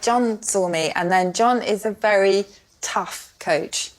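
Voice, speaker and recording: A woman's voice: medium pitch, slow, heavy background noise. A woman with a mid-range voice tells a personal story as a panel show guest. She speaks as a guest in a studio comedy setting. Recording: studio with live audience.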